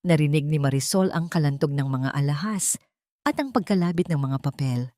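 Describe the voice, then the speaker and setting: A woman's voice: low voice, lively intonation, moderate pace, mellow, no room sound, studio quality. A woman narrating a scripted story in a dramatic, suspenseful manner.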